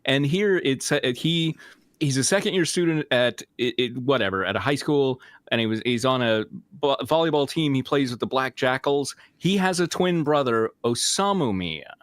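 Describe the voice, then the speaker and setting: Halting man in a dry-sounding room. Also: mid-range voice, lively intonation, no echo. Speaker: co-host of a fanfiction comedy show. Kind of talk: casual comic commentary.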